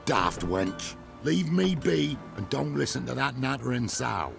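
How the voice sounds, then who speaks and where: Fantasy game peasant: British, wary and surly, heavy vocal fry, unhurried, music underneath. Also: male voice, medium pitch, expressive. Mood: surly, grudging. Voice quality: creaky, gravelly. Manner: rustic, grudging. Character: grumpy, wary, slow-talking male village peasant. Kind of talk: video game voice acting.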